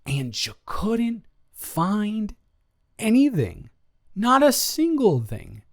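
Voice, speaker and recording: A man's voice: sits high, huge pitch swings, extremely slow, heavily acted. A man playing an earnest, flustered ex-boyfriend in an intimate improvised audio roleplay, stammering and trailing off as he talks. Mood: incredulous, hopeful.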